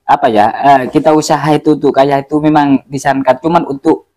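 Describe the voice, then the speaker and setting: A man's voice: a little high, little pitch movement, mellow. Agreeable man chiming in. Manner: agreeable, quick affirmations. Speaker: co-host. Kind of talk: casual religious chat.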